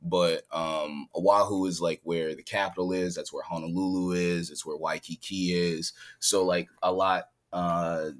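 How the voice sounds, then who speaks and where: A man's voice: low voice, little pitch movement, measured pace, few pauses. A man from San Diego, living in Hawaii, chatting casually as a guest on a podcast, laid-back and laughing easily. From San Diego, California. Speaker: rapper guest. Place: home in Hawaii, remote call.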